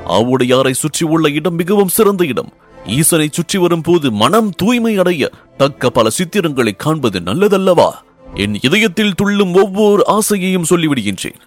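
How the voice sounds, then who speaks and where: A man's voice: a little high, pitched above the performer's own voice. Man acting an eager, stately young-reign emperor. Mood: commanding, eager. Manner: declamatory, stately, formal.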